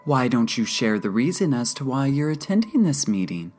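A woman voicing a patient, measured female addiction counselor in an audiobook, calm but firm. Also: a bass voice, breathy edge, faint music behind, pitched above the performer's own voice, full character voice.

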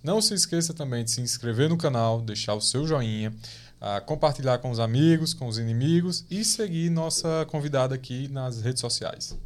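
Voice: medium pitch, moderate pace. A podcast host reading a channel plug and asking the guest questions.

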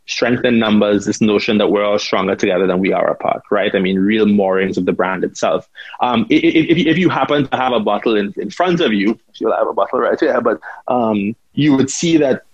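A man's voice: medium pitch, husky, dry recording, muffled recording. A man from Trinidad and Tobago, a rum brand founder, talking in an interview and often repeating words and asking "right?". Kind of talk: conversational interview answer.

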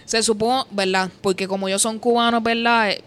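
Smooth, clear-voiced woman chatting. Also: fairly high pitch, fairly even intonation, a little fast, a bit bright. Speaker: panelist on a talk show. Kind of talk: casual chat.

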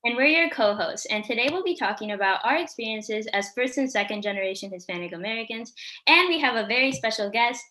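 A woman's voice: fairly high pitch, animated, quick pace, sharp tone. A sixteen-year-old girl co-hosting a podcast, chatting casually and saying "like" a lot. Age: teens. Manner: chatty, lots of "like". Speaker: podcast co-host.

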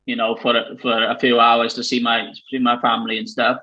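A man with a South Wales Valleys accent hosts a relaxed conversation, wandering through his thoughts with lots of ums and likes. The recording is slightly dull and lo-fi. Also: medium pitch, a little fast. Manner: chatty, many fillers, rambling. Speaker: host. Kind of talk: casual chat.

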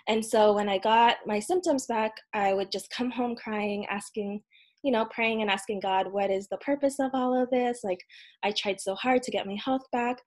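A woman in her thirties, a podcast guest, telling a personal story in an earnest, casual way with frequent ums and soft laughs. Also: on the high side, moderate pace. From United States. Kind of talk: casual interview conversation.

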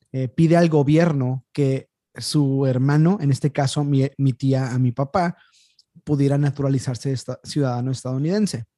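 A man, chatting as a podcast guest over a video call. His voice is mellow and dark, sitting a little high for a man. The sound is dry, and he pauses often with fillers as he thinks. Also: dry recording. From Mexico. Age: 30s. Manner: relaxed, thinking aloud with frequent fillers. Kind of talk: casual conversation.

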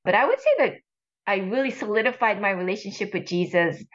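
A woman in her sixties from Hawaii, talking earnestly as an interview guest, with halting restarts. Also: medium pitch, lo-fi sound. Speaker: guest, ministry leader. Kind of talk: casual interview talk.

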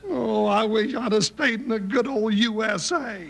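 An actor playing a grumbling, jittery, food-loving cowboy sidekick with a folksy, excitable way of talking, in an old-time radio western. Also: male voice, high-pitched, measured pace, gravelly, heavy background noise. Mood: nervous. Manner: folksy drawl, complaining, excitable. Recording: vintage radio recording with music.

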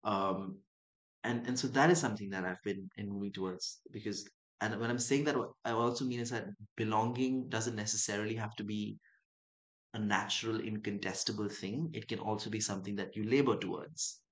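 A man's voice: lowish voice, fairly even intonation, brisk. A young man from Dubai and Chennai, a writer guest in an interview, talking in a reflective, musing way. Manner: thoughtful, musing, acts out quoted voices.

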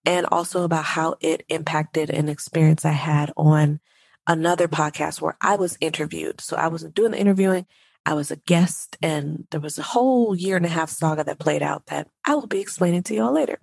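A woman with a low voice and a stuffy nose hosts her own podcast, speaking straight to her listeners in a relaxed, conversational monologue. Her voice is dry and close, with a steady gravelly fry running through it. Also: brisk, dry recording. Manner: conversational, addresses listeners directly. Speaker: podcast host.

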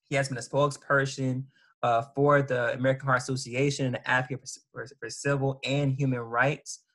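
A man's voice: medium pitch, level tone, a little fast, fairly bright tone. Hesitant man reading a guest introduction. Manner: reads a guest bio with frequent ums and restarts. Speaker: host. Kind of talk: casual interview introduction.

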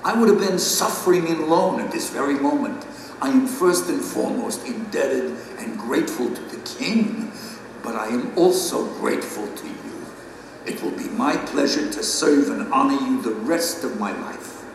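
Actor as gentle, reverent man in echoing hall. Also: mid-range voice, lively intonation, lightly acted. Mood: earnest gratitude. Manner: solemn, measured, formal. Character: gentle, reverent male guide in a heavenly kingdom. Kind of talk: audio drama acting. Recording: echoey great hall, distant, faint noise.

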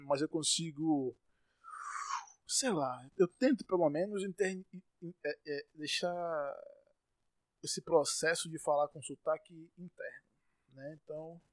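A Brazilian man hosting his own podcast, ranting in exasperation, with sudden loud outbursts and stumbling, repeated words when he gets worked up. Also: on the high side, no room sound. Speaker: podcast host. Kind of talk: solo podcast rant.

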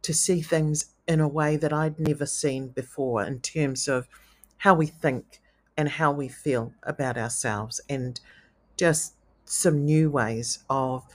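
A woman hosting a solo podcast, speaking in an earnest, gentle, thoughtful way with a low, tenor-like voice. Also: a little slow. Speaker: podcast host. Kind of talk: reflective solo podcast talk.

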